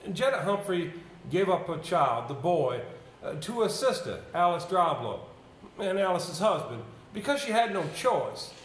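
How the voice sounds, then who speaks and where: A man in a live amateur stage play, playing a troubled, reluctant villager burdened by secrets, telling them haltingly. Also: sits high, very animated. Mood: sorrowful recounting. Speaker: stage actor. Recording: echoey, noisy amateur theater stage recording.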